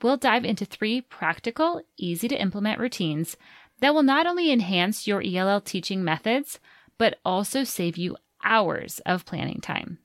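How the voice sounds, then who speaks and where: A woman's voice: medium pitch, no echo, studio quality. Upbeat woman hosting a podcast. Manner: upbeat, promotional. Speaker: podcast host. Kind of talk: scripted podcast intro and interview.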